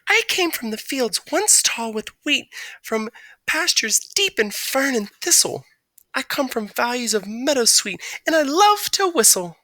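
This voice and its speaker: Woman doing a soft, musical little songbird. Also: sits high, sing-song, unhurried, no echo, pitched above the performer's own voice, full character voice. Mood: gentle. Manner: prim and courteous. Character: small gentle female songbird, soft and musical.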